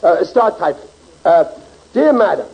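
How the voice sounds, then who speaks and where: Casting: bumbling, boastful New husband, highish animated voice, old muffled radio comedy. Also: male voice, a little slow, husky, heavily acted. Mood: fussing, amused. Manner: flustered stammering, boastful. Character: blustering, bumbling working-class husband and father. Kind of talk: 1940s radio comedy acting. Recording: muffled, hissy 1940s radio recording.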